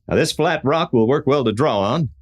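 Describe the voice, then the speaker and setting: A man playing a resolute masked cowboy hero in a radio play, explaining and giving orders with crisp authority in an American accent. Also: medium pitch, lively intonation, normal speed, lightly acted. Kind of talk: Western radio play acting.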